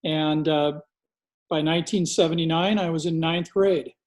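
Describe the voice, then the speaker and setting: Man in his fifties, measured and orderly. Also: on the high side, steady tone. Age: 50s. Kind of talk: casual chat on a video call.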